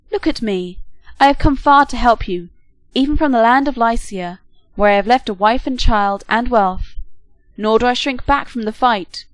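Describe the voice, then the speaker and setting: A woman's voice: fairly high pitch, no echo, lightly acted, poor audio. A woman reading the part of a proud male warrior prince who speaks with reproach in a declamatory way, in a storybook reading.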